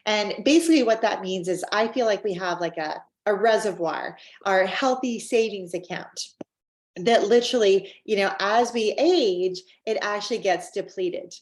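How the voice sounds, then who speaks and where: A woman's voice: mid-range voice, normal speed. A middle-aged woman with a Canadian accent giving an upbeat, chatty wellness presentation, with frequent fillers. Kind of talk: scripted-feeling sales presentation. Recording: roomy echo.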